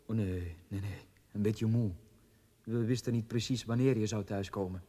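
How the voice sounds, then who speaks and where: A man's voice: on the low side, fairly even intonation, normal speed, warm tone, lightly acted. A Flemish man acting in a radio drama as a formal, restrained, dignified middle-aged lord and father. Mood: subdued, courteous. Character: dignified, protective lord, father of a grown son. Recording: long hall reverb.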